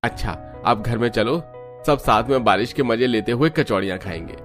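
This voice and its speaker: A man's voice: mid-range voice, expressive, normal speed, a bit bright, soft background music, natural voice. A man voicing a caring, concerned young husband in a scripted cartoon.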